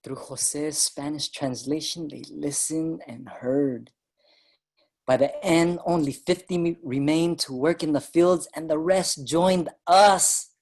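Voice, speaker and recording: An actor playing an earnest Filipino migrant writer and activist, narrating dramatically in a staged reading. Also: male voice, fairly high pitch.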